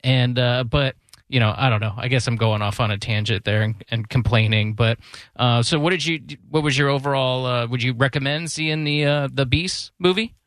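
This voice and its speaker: Radio host guy just chatting, kinda rambly n hesitant, regular pitch, dry studio-tight sound. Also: moderate pace, fairly bright tone, dry recording. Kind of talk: casual chat.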